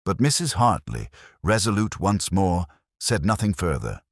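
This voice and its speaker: A man narrating a scripted mystery story in a measured, literary manner. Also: low voice, big pitch swings, no echo, studio quality.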